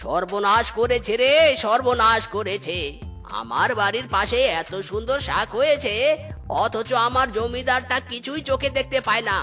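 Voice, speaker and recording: A man's voice: very high voice, normal speed, over music. A man playing a miserly, hot-tempered village uncle in a cartoon, scolding and complaining with exclamations. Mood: dismayed. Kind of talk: exaggerated Bengali cartoon comedy dialogue.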